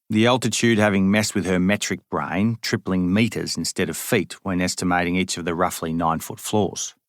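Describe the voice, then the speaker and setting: A man reading a first-person memoir aloud as an audiobook, in an even, measured way with dry humour. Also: on the low side, dry recording. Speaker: audiobook reader.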